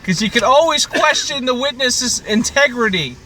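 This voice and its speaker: A man with a high, bright voice chats casually as a podcast co-host. He teases and needles in loose banter, recorded with clear car and street ambience around him. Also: animated, normal speed, a little hiss or hum. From Canada. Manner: teasing, needling.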